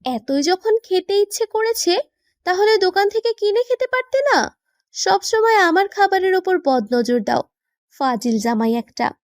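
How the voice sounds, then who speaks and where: A woman acts out a playful young wife with a high-pitched voice, recorded dry and close. She pouts, banters and mock-scolds, quick to protest, in the style of a romantic audio drama. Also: lively intonation, dry recording. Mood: pouty, scolding. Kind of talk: romantic audio story acting.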